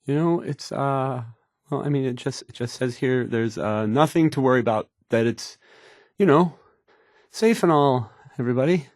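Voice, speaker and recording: A man's voice: mid-range voice, moderate pace, a little mellow, dry recording, studio quality, natural voice. An actor playing a timid, awkward young male radio DJ in a video game, stammering, hesitant and apologetic. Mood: nervous, trailing off. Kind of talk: post-apocalyptic video game voice acting.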